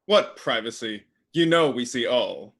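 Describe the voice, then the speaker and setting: A man's voice: mid-range voice, animated, unhurried, fairly bright tone. A man playing a scheming, evil god of the underworld in a comic staged reading, theatrical and sly. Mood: dry, sardonic. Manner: theatrical, sly, mock-sweet.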